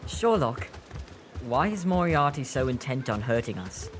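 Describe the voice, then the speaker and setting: A man's voice: medium pitch, sing-song, measured pace, a little hiss or hum. A man playing an earnest, questioning, self-doubting youth who leads street kids in an audio drama. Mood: troubled.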